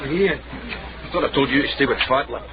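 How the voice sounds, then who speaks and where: An actor playing a dry, sardonic Scottish investigator in a period radio drama, wry and understated. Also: male voice, medium pitch, normal speed, creaky, over a noisy crowd, natural voice. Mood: guarded. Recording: muffled, noisy radio recording.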